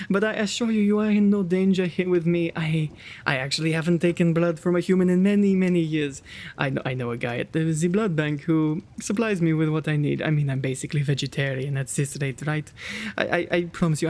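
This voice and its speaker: A man plays a kind gentleman vampire for an ASMR roleplay. His voice sits a little high and has a creaky edge, and he takes his time with courtly, old-fashioned politeness, gentle and easily flustered. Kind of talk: ASMR roleplay, intimate one-sided dialogue.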